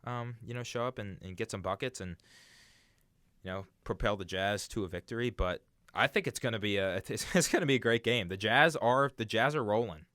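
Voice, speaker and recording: Young male sports co-host, lowish pitch, casual talk, dry recording. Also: animated, fairly quick, a bit bright, dry recording. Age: young adult.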